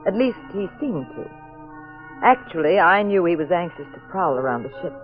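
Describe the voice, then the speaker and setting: An actress playing a detective's jealous, witty wife in an old radio mystery, with an arch, teasing delivery. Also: female voice, on the low side, sing-song, moderate pace. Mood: narrating. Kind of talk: 1940s radio mystery acting. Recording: muffled old radio recording, faint music.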